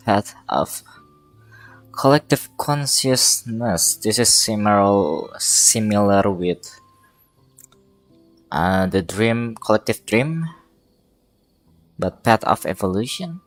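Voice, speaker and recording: A man streaming a game with casual, somewhat halting live commentary. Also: on the low side, animated, faint music behind.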